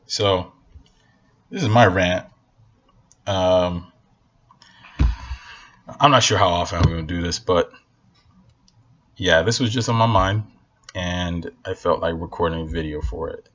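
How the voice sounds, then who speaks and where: Husky-voiced man on an impassioned rant. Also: deep voice, a little slow, pauses often, fairly bright tone, muffled recording. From Northern Nigeria. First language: Hausa. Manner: blunt, impassioned, repeats for emphasis. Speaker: vlogger. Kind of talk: casual opinion rant to camera.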